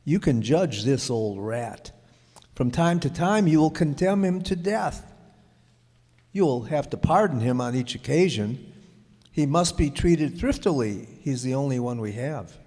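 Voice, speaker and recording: A man's voice: medium pitch, lively intonation, measured pace, mellow. A man playing a lonely, self-important but kindly king in a radio play, regal and given to hemming and hawing. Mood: pompous. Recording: echoey hall, long reverb.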